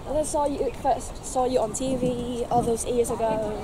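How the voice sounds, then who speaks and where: A young woman acting a hurt, defensive former songwriting partner in a live play, speaking haltingly and emotionally. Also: a little high, steady tone, close to an everyday voice. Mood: hurt, accusing. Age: young adult. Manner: halting, emotional, repeating herself. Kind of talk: live theatre dialogue. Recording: very noisy outdoor location recording.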